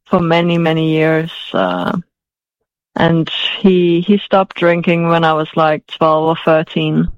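A woman's voice: deep voice, slow, no room sound, lo-fi sound. A woman in her forties raised in Denmark, answering interview questions hesitantly with many fillers and restarts. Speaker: guest, author.

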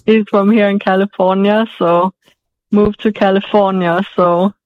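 A woman's voice: medium pitch, steady tone, unhurried, phone-quality sound. A woman in her forties raised in Denmark, answering interview questions hesitantly with many fillers and restarts. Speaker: guest, author.

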